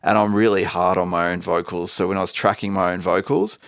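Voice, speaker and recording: A man's voice: low voice, fairly even intonation, fairly quick, vocal fry, fairly bright tone, no room sound, studio quality. An Australian singer-songwriter being interviewed, a man giving long, talkative, opinionated answers in casual chat.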